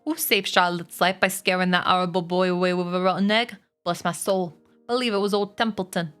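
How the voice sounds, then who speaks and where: A woman's voice: lowish voice, fairly even intonation, a little fast, a bit bright, smooth, faint music behind, close to an everyday voice. Lady putting on a grouchy old rat guy, growly and sarcastic, lazy drawl. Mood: boastful. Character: grumpy sarcastic male rat, lazy and mocking. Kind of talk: storybook read aloud.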